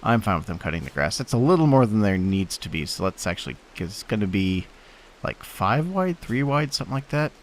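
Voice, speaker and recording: A man's voice: lowish voice, sing-song, a little fast, a little hiss or hum. Stuffy-nosed man rambling through a game. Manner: rambling, thinking aloud with many fillers. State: stuffy from allergies. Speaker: host. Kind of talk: casual gameplay commentary.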